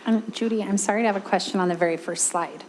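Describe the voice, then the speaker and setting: A woman's voice: medium pitch, fast talker, a little mellow. Board member, woman, tentative question from away from the mic, faint noise. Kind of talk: question at a meeting.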